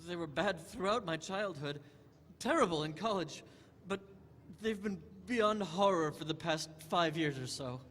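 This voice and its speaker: A man's voice: fairly high pitch, animated, a little slow, fairly bright tone. A man playing a tormented, nightmare-plagued horror writer in a staged drama, formal and melodramatic in his anguish. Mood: troubled. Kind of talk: audio-drama style stage acting. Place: large convention hall stage. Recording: echoey hall stage recording with noise.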